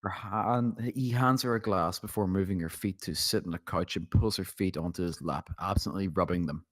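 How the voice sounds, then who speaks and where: A man with a low voice narrates a screenplay. He reads out scene headings and stage directions as scripted narration for a table read. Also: normal speed.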